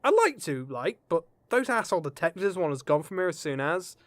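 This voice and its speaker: A man's voice: a little high, sing-song, brisk, no room sound. A man playing a flippant, chatty adventuring storyteller in a comedy fantasy audio drama, with a glib, colloquial manner.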